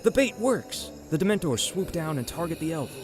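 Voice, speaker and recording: A man's voice: fairly high pitch, big pitch swings, normal speed. A man narrating a scripted radio drama in a dramatic storytelling manner. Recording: music bed behind the voice.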